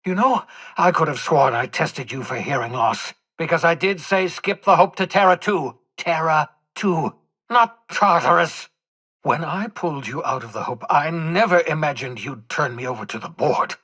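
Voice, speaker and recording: An older man acting as an embittered, desperate renegade scientist in a video game, with a raspy voice and a theatrical, sarcastic, erudite manner. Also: on the high side, moderate pace, pushed and bright. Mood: bitter, mocking.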